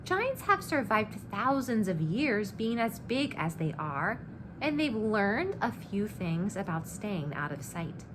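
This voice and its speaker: Woman playing a cocky, scheming storybook giant killer, animated. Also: mid-range voice, takes it slowly, pitched above the performer's own voice. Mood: cocky, instructive. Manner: boastful, breezy, persuasive. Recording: clear background noise.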